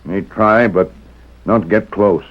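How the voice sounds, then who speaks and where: A dull vintage radio recording carries a loyal frontier scout. The low voice is slow and solemn, delivering short, clipped pidgin phrases with stoic gravity. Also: male voice. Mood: stoic, grave. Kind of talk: 1940s radio western acting. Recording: muffled old radio recording.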